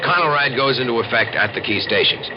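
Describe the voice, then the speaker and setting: A man's voice: mid-range voice, animated, fast, background noise, natural voice. A man playing a confident civil defense official in a 1950s radio docudrama, explaining emphatically and dramatically. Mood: assured, explaining. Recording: dull vintage radio recording.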